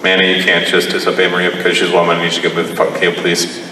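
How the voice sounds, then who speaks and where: A man's voice: low voice, completely flat delivery, racing, sharp tone. A man hosting and directing a live improv comedy skit, joking loosely with lots of swearing. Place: bar stage with live audience. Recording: echoey hall, distant mic, noise.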